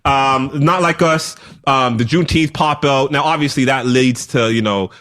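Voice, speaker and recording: A man's voice: medium pitch, normal speed, fairly bright tone. A man from Canada co-hosting a radio talk show, chatting casually with frequent laughs and rambling restarts. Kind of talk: casual chat.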